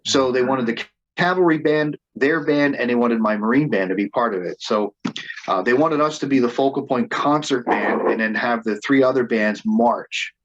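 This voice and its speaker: A man's voice: medium pitch, normal speed. Guy chatting about his band, keeps restarting sentences, slightly muffled sound. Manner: rambling, frequent restarts and repeated words. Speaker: band leader and reenactor being interviewed. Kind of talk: casual interview storytelling.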